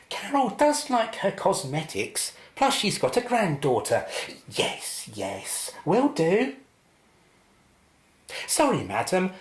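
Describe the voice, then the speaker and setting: Radio drama receptionist, played by a woman: young British female, flustered, unhurried, swooping intonation, roomy sound. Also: low-pitched, heavily acted. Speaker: actor. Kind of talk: radio play acting.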